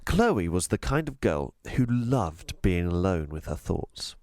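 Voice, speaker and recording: A man reads a children's story aloud in a fairly low voice. His pitch swoops widely from phrase to phrase, and he takes his time, leaning on words with deliberate emphasis. The sound is dry, with very little room. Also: no room sound. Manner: expressive, deliberate, emphatic delivery. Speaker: teacher reading aloud to a school class. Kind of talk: scripted storybook narration.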